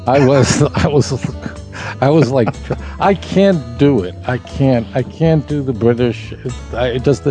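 A man's voice: medium pitch, measured pace, dark tone, faint music behind. A man with a Brooklyn accent, a rabbi guest on a podcast, joking and rambling with many restarts. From Brooklyn, New York. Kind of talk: casual chat.

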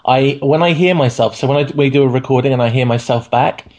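A man's voice: medium pitch, fast, darkish tone, muffled recording. Thoughtful man with a regional English accent. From England. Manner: thoughtful, self-deprecating, with many ums. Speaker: podcast co-host. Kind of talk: casual chat.

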